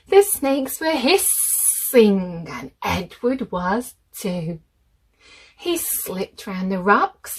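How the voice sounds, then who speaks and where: A woman reading a children's picture book aloud, expressive and deliberate, speaking directly to young listeners. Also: medium pitch, breathy edge.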